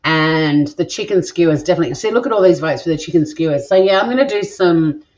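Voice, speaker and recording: A woman's voice: on the low side, fast talker, darkish tone. Aussie lady rambling at her viewers from the kitchen, cheeky and giggly, kinda muffled lo-fi sound. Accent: Australian. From Australia. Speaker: cooking vlog host. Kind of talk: casual chat, live cooking stream reading viewer comments. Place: home kitchen. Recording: dull lo-fi home recording.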